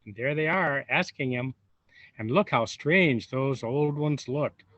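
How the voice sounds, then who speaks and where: A man reading a storybook aloud to young children, expressive and unhurried, pausing for wondering asides. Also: medium pitch. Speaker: volunteer storybook reader. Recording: roomy echo, dull lo-fi sound.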